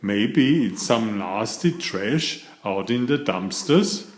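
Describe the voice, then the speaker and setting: A man's voice: on the low side, lively intonation, slow pace, reverberant. A man voicing a puzzled, easily disgusted young turtle in a children's storybook.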